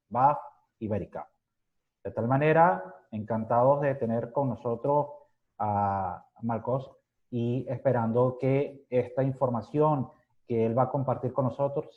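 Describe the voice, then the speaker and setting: A man's voice: mid-range voice, narrow, tinny audio. A man moderating a webinar, giving a polite, formal introduction of the speaker with a few fillers.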